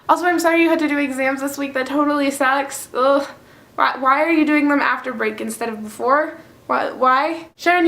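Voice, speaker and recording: A young woman from France, chatting casually to camera at home in a bubbly, talkative way. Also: sits high. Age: young adult. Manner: bubbly, chatty, quick bursts of excitement. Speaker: vlogger.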